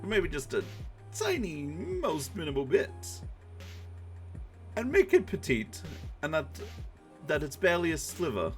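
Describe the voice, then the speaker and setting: Lively man reading aloud and bantering. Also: a little high, a little slow, long pauses, faint music behind. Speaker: streamer hosting a group dramatic reading. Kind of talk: playful read-aloud with casual banter.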